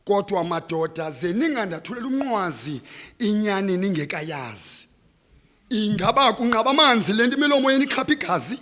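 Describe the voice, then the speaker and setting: Xhosa guy doing slam poetry live, high voice, really dramatic delivery, big echoey hall. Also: expressive, a little fast. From South Africa. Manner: impassioned, dramatic, swelling from hushed to loud. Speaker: slam poet performing on stage. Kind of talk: live spoken-word poetry, declamatory and rhythmic. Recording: live stage performance, reverberant hall.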